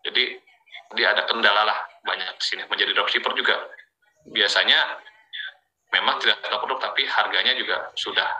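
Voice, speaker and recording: A man's voice: lowish voice, brisk, sharp tone, rough recording. A man giving an informal, explanatory sales presentation, talking conversationally and often checking in with his listeners using small tag words. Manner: conversational, repeats filler tags to check in with listeners. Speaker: presenter of an affiliate marketing training.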